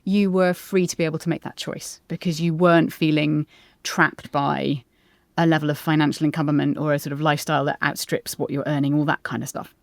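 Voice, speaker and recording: A woman in her forties, a podcast guest, talking in a thoughtful, measured way with frequent pauses. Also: low-pitched, level tone, vocal fry, no room sound, clean studio sound. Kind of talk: reflective conversation.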